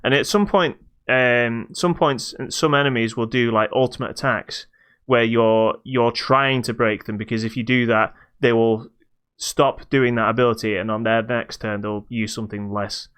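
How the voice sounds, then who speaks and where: A man's voice: medium pitch, steady tone, moderate pace, fairly bright tone, clean tone. A British man hosting a podcast, casually reviewing a video game in a hesitant, stop-start way.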